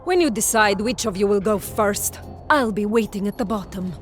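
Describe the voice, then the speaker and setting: A woman's voice: medium pitch, moderate pace, soft background music, close to an everyday voice. A woman playing a cool, stoic warrior in a video game cutscene.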